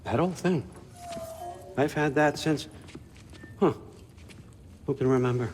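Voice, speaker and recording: A man's voice: fairly high pitch, slow, a little mellow. An older man playing a scheming, folksy, testy Allfather god in a video game, with casual, domineering patter. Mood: folksy, persuasive. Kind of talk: video game cutscene acting. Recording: long hall reverb under clear music.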